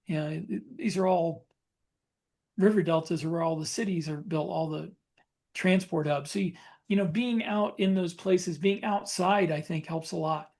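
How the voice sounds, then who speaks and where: A man chatting casually as a podcast co-host, thinking aloud in a halting way with repeated phrases. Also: sits high, darkish tone. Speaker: podcast co-host and science fiction author.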